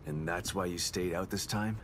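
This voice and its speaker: A man playing a gruff, weary, determined ex-detective in a survival horror game, speaking tersely and sardonically. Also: low voice, normal speed, heavy background noise, natural voice. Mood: wary.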